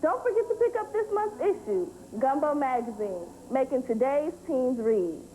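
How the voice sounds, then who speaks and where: Young female youth-TV reporter, high and animated, scripted promo read, some background noise. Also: moderate pace, poor audio. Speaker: teen TV show reporter.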